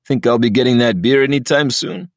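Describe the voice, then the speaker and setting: A man's voice: medium pitch, a little fast, no echo, studio quality, voice pushed up, close to an everyday voice. A man voicing a cocky, crude young man who mocks others in a laid-back way. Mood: mocking. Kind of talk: audiobook character voice.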